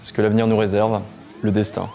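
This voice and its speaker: A man of thirty, a suitor on a dating show, talking gently and hesitantly with many pauses. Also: lowish voice, level tone, gravelly, faint music behind. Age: 30s. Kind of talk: casual chat.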